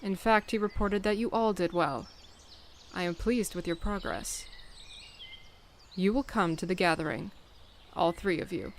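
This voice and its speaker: Woman as a stern, low-voiced cat clan leader. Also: slight background noise. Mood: calm, approving. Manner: measured, commanding. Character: authoritative mature female cat clan leader, measured and stern. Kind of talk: audiobook fan-dub voice acting.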